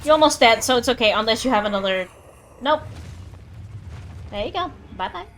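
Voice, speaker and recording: High-voiced woman streaming a game. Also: animated, a little fast, a bit bright, faint music behind. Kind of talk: casual live gameplay commentary.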